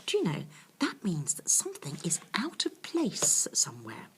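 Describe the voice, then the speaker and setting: A woman's voice: fairly low pitch, wildly swooping pitch, moderate pace, in a whisper. A woman reading a children's bedtime story expressively, with confiding asides to her young listeners.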